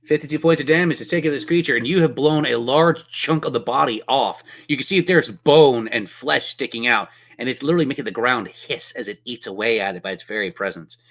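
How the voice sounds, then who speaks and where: A man's voice: medium pitch, fast, few pauses, a bit bright. A man acts as game master for a tabletop role-playing session. He narrates in a playful way, with theatrical turns of phrase and a mid-range voice.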